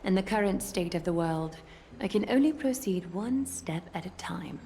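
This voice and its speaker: A woman's voice: lowish voice, lively intonation, moderate pace, warm tone, a little hiss or hum. An actress playing a gracious, calculating female leader in a video game, with poised, formal delivery over music. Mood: calm, probing. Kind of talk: dramatic video game voice acting.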